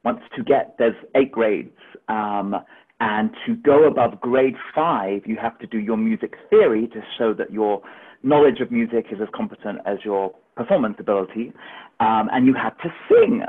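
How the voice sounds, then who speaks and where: A man's voice: lowish voice, normal speed, slightly rough. A man who works as a musical director, telling his story in a chatty, rambling way with many fillers over a remote call. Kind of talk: casual storytelling on a podcast. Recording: tinny phone-like remote call audio.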